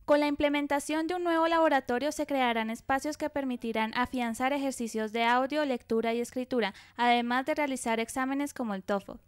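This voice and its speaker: A woman reporter reads news copy in a high, smooth voice. The sound is close and dry, with no room echo. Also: brisk, few pauses. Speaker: student reporter. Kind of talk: scripted news narration. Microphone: dry studio voice-over.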